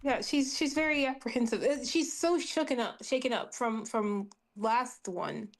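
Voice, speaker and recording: A woman's voice: fairly high pitch, normal speed. A woman chatting casually as a player in a tabletop role-playing game.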